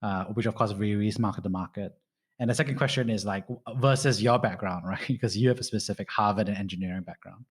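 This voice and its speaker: A man's voice: fairly low pitch, quick pace, breathy edge. A man in his thirties hosting a podcast, giving casual advice in a rambling way full of "you know" and "right?". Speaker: podcast host.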